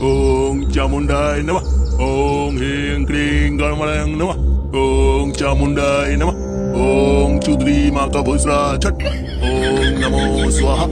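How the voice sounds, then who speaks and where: A man's voice: medium pitch, lightly acted. A man voicing a menacing village tantric sorcerer in a comic cartoon, intoning spells with solemn weight. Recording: loud music under voice.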